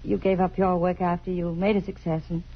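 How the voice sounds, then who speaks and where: An anguished, pleading lover in a vintage radio play. Her voice is low and very husky, and the period broadcast sounds muffled. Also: flat delivery, fairly quick. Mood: wistful, uneasy. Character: anguished, pleading woman pianist in love. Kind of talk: 1950s radio drama acting.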